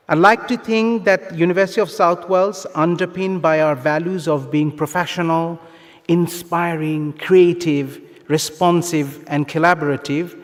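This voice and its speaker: Higher-voiced man speechmaking in a reverberant hall. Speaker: university official giving graduation address. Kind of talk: formal ceremonial speech. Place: large conference hall, live graduation ceremony. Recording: long reverb of large hall PA.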